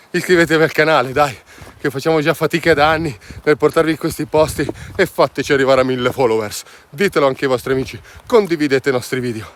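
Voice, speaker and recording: A man's voice: mid-range voice, a bit bright. A man chatting casually and enthusiastically to viewers as an outdoor vlog host. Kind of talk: casual chat to camera. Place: mostly outdoors in mountain valley and woods. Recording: noisy outdoor ambience.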